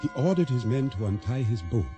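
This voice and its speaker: A man acting out a lonely island shopkeeper in a radio play. His medium-pitched voice moves expressively, guarded and simply spoken, with music clearly in the background. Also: animated, fairly quick, natural voice. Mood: reflective narration. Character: lonely, guarded male island storekeeper telling his story. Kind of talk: radio drama acting and first-person narration.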